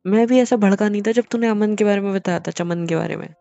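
A man's voice: high-pitched, fast talker, gravelly, dark tone, no room sound. A young man playing a teasing male best friend who keeps questioning insistently in a phone-call drama. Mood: teasing, exasperated. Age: young adult. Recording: muffled lo-fi audio under music.

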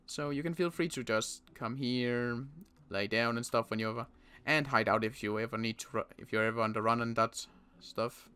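Bright, clear-voiced young man roleplaying. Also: mid-range voice. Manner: halting, many restarts, protective older-survivor tone. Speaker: roleplay series player. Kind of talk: in-character roleplay chat.

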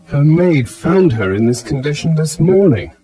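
An actor playing a devoted, anxious gentleman suitor in a radio drama. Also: fairly high pitch, sing-song, moderate pace, music in the background.

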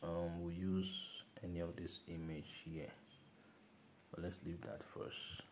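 A man's voice: sits low, level tone, slow, slight background noise. A man talking viewers through a phone design app step by step, repeating himself and calling things "this guy". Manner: instructive, repeats steps, calls objects "this guy". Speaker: design tutor. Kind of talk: screen-recorded software tutorial.

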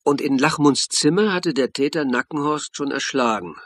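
An actor playing a calm, wry police lecturer and investigator in a radio crime drama, with a husky voice. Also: male voice, fairly high pitch, normal speed, no room sound. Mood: reassuring. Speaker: actor, narrator and lead. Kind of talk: radio crime drama acting and first-person narration.

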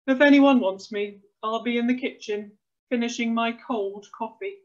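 A woman's voice: on the high side, normal speed, a little mellow, dull audio, close to an everyday voice. A woman voicing a grumpy, put-upon husband and dad in a children's storybook, muttering his complaints. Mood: resigned. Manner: grumbling, muttering.

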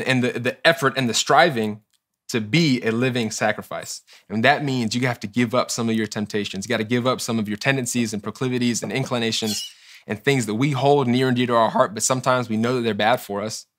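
A man's voice: medium pitch, fairly bright tone. A young man in his twenties giving an earnest, conversational sermon to camera in a small studio, often correcting himself and voicing his listeners' questions. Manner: earnest, quick, self-correcting, asks imagined questions. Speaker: church group leader giving a short teaching.

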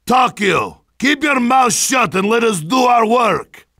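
An actor playing a hard-drinking, boisterous Russian soldier in a video game, gruff and sardonic, with a Russian accent and mocking broken English. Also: male voice, very high voice, animated, slow, bright, forceful tone, no room sound, shouting, studio quality, exaggerated voice. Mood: sardonic, scornful. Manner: blustering, mocking, broken English with dropped articles. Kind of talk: video game character barks.

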